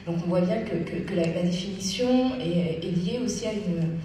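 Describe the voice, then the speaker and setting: A woman with a Parisian accent, a guest speaking in a public debate on a theatre stage, articulate and measured with frequent hesitations. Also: medium pitch, mellow, distant mic, slight background noise. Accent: Parisian French. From Paris, France. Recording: echoey hall, picked up from afar.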